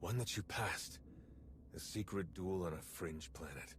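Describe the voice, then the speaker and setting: Deep, imperious villain overlord. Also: male voice, measured pace, background noise. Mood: coolly menacing. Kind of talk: video game cutscene acting.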